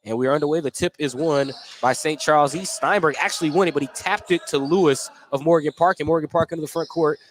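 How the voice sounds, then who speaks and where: A man's voice: fairly high pitch, quick pace, few pauses. An American man doing live play-by-play radio commentary of basketball from a gymnasium. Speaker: play-by-play sports announcer. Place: indoor high school gymnasium. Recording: crowd noise behind the voice.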